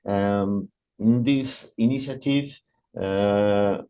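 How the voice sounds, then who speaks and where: A man with a Brazilian Portuguese accent opens an online business event in English. His voice sits very low and he moves slowly, stopping often on filler sounds. It comes through a video call. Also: dark tone. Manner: halting, many filler pauses. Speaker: event host and committee coordinator.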